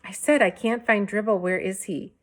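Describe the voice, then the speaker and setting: A woman's voice: medium pitch, fairly even intonation, normal speed, close to an everyday voice. Woman voicing a frantic, exasperated young boy. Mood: worried. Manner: insistent, pleading, prone to yelling. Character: frantic, exasperated school-age boy upset over his pet turtle.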